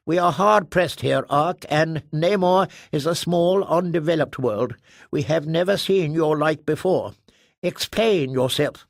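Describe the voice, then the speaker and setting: A man's voice: on the high side, no room sound, clean studio sound, pitched above the performer's own voice. A man voicing an anxious elderly male official who makes hesitant, pleading appeals.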